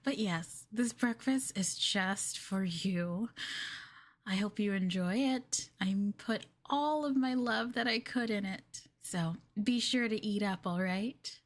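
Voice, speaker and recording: Yandere girlfriend played by a woman for ASMR roleplay: clingy, adoring, possessive; soft breathy coo, very unhurried, mid-pitched. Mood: sweetly possessive.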